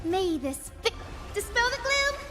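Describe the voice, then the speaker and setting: Squeaky, tiny magical companion. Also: female voice, big pitch swings, measured pace, full character voice. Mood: cheerful, earnest. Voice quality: squeaky, cute. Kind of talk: video game character acting. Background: very loud game music.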